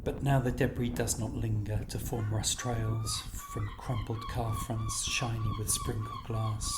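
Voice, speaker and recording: A man reading poetic scripted narration in measured, deliberate phrases. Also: medium pitch, huge pitch swings, roomy sound, very noisy.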